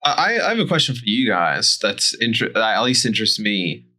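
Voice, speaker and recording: A man's voice: lowish voice, sing-song, moderate pace, sharp tone. A man who coaches esports and used to play professionally, talking casually in a round-table discussion. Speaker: esports coach and former pro player.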